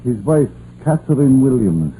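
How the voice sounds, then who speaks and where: An actor playing a weary, principled older Welsh miner and father in a 1950s radio drama, halting and solemn, with a Welsh accent. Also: medium pitch, big pitch swings, dark tone. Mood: solemn, troubled. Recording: noisy, muffled vintage radio recording.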